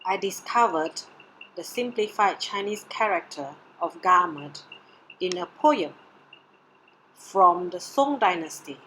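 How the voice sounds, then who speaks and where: A woman whose first language is Mandarin, reading her art research presentation in a measured, deliberate way. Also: on the low side, animated, fairly bright tone, a little hiss or hum, rough recording. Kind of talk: read-aloud research presentation.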